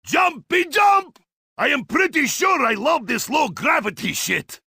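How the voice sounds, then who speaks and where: An actor with a Russian accent playing a boisterous, crude, drunk Cossack zombie-slayer in a video game, shouting in broken English. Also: male voice, extremely high pitch, very animated, unhurried, gravelly, no echo, clean studio sound, heavily acted. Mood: giddy rambling. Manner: shouting gleefully, broken English without articles. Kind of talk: video game character voice lines.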